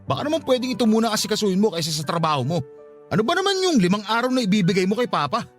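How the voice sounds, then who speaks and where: A high-pitched, fast-talking older brother with a Filipino accent. He scolds and needles with blunt sarcasm, and soft music runs under the radio drama. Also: big pitch swings. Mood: scolding. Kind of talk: Tagalog radio drama acting.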